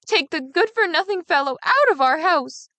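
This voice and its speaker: A woman voicing a middle-aged sexton's wife, shrill and screaming. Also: expressive, normal speed, bright, forceful tone, dry recording, exaggerated voice. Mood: frantic.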